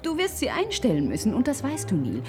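Lebhafte, herablassende Frau. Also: female voice, fairly high pitch, huge pitch swings, fairly quick, over music. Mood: reproachful. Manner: emphatic, impatient, scornful sighing exclamations. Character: dismissive, comfort-loving woman Pat from an orderly future society. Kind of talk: German science-fiction radio play acting.

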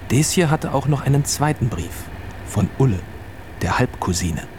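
A man narrating a children's radio play as a storyteller, in deliberate short phrases. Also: medium pitch, lively intonation, a little mellow. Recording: clear traffic and vehicle noise behind. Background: vehicle and outdoor ambience.

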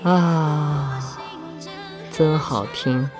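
A man's voice: a little high, steady tone, very slow, over music. A man in casual livestream chat, easygoing, often repeating short phrases.

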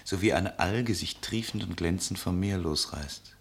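An actor playing a tender traveller who tells lyrical, solemn stories in a literary radio play. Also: male voice, low-pitched, a little slow, gravelly. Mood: dreamy, hushed. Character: male traveller, tender poetic storyteller.

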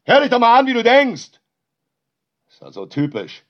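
An actor playing a defensive, volatile sound artist in naturalistic radio drama, argumentative and given to ranting and swearing. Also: male voice, very high-pitched, huge pitch swings, normal speed, heavily acted. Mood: furious, abusive. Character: defensive, volatile male sound artist who records everything. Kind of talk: naturalistic radio play dialogue. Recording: dull, lo-fi home tape sound.

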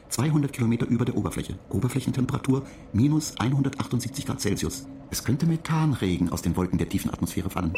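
Man doing a pedantic ship computer, gravelly, detached, terse, radio drama. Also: medium pitch, background noise, close to an everyday voice. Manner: dry, lecturing, terse.

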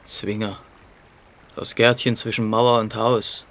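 A man's voice: on the low side, flat delivery, takes it slowly, fairly bright tone. An actor in a German radio play playing an educated, courteous literary man with polished phrasing. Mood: explanatory.

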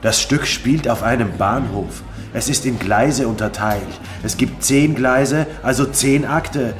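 A man's voice: mid-range voice, measured pace, natural voice. An actor playing an earnest, fed-up playwright who defends his work in a theatrical German radio drama. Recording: music under the voice.

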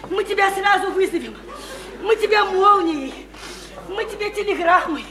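A woman's voice: very high-pitched, moderate pace. An actress in a radio play voicing an overwrought provincial woman desperate to escape, gushing and repeating herself in colloquial speech. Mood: giddy excited. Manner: gushing, repetitive, colloquial, hysterically elated. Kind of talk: theatrical Russian radio play acting. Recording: roomy, echoing stage-like radio play recording.

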